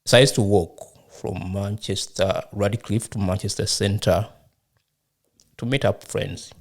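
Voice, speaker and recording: Low-voiced Ugandan-raised man, unhurried. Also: fairly even intonation, gravelly. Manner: unhurried, hesitant with frequent fillers. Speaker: guest, charity founder. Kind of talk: interview answers.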